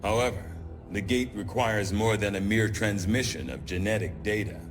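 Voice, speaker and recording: An actor playing a formal, analytical scientist in a video game cutscene, speaking precisely and with measure. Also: male voice, lowish voice, moderate pace, close to an everyday voice. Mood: grave, analytical. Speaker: chief scientist. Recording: music behind the voice.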